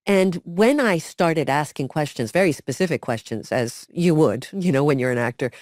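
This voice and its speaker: A middle-aged woman co-hosting a casual interview, telling her story in a lively way and acting out the quotes. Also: deep voice, moderate pace, no room sound, studio quality. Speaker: podcast co-host, former cast member.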